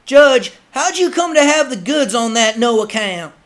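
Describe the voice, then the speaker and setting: A man playing a rough, excitable frontiersman in an audiobook, talking in eager, folksy, ungrammatical phrases. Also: extremely high pitch, unhurried, voice pushed up, exaggerated voice. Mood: eager, curious.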